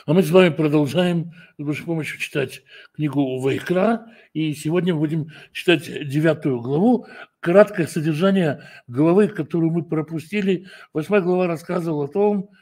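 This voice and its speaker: A man praying and then teaching from Scripture, speaking slowly and deliberately, often pausing to correct his own words. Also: fairly high pitch, darkish tone. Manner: slow, measured delivery with frequent hesitations and self-corrections. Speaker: preacher and Bible teacher. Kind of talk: prayer, then a Torah study lesson.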